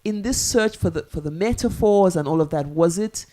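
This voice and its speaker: A woman's voice: fairly low pitch, normal speed, dark tone, slight background noise. A woman writer interviewing a fellow author in a live festival conversation, thoughtful and searching, with long questions that circle around their point. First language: English.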